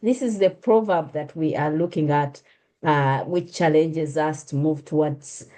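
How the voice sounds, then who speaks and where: A Ugandan woman hosts a conversational interview in a lowish, mellow voice. She speaks with many hesitations and restarts, pausing on fillers as she frames her questions. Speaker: host.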